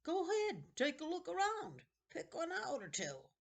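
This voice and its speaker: An ancient, stooped old librarian from a children's novel, acted out by a woman reader. He speaks in a raised, high voice, halting and eager. He coaxes in an eerie way and repeats himself. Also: huge pitch swings, heavily acted. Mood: eager, coaxing. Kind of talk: children's novel read aloud.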